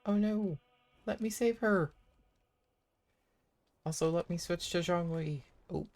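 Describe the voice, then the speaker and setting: A video game streamer giving casual, off-the-cuff gameplay commentary in a creaky voice. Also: mid-range voice, slow pace, darkish tone.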